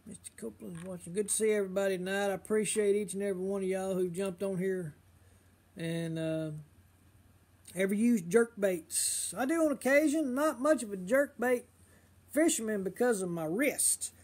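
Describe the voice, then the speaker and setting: A middle-aged man, slightly hoarse, chatting casually on a fishing livestream and giving advice with lots of uh and um. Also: high voice, normal speed, darkish tone. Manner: rambling, full of uh and um fillers.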